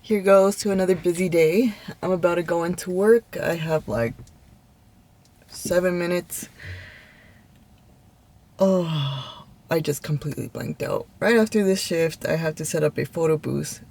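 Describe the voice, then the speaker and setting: Candid young woman vlogger, slightly low voice. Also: measured pace, creaky, pauses often, a little mellow. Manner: candid, reflective. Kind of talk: casual personal vlog talk to camera.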